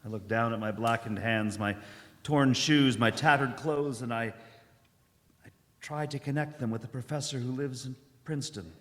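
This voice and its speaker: A man's voice: medium pitch, normal speed, a bit bright, close to an everyday voice. A man playing a haunted, lonely professor who survived a catastrophe, in a dramatic radio monologue. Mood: despairing. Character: haunted professor, lonely derelict survivor narrating his despair. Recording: reverberant church hall.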